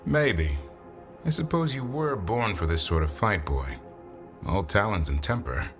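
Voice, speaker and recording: A blunt male warrior and bodyguard from a fantasy game. His voice is deep and swings freely in pitch, wry and protective in tone. Faint music plays underneath. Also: animated, unhurried. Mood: wry, fond. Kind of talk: dramatic video game dialogue.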